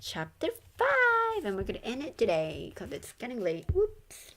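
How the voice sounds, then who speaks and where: A woman narrating a novel as a read-along, haltingly, stumbling over words and correcting herself. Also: fairly high pitch, wildly swooping pitch, fairly bright tone. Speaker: streamer reading a novel aloud.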